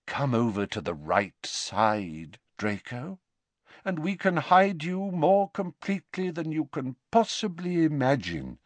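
A man voicing a calm, courteous elderly male wizard who speaks quietly. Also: on the high side, slow, no echo, voice pushed up.

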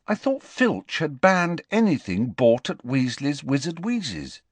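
A man's voice: fairly high pitch, wildly swooping pitch, takes it slowly, dry recording, pitched above the performer's own voice, heavily acted. Defensive teen boy, from a male narrator, pressing his point, close and dry. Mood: puzzled. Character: defensive teenage schoolboy, stubborn.

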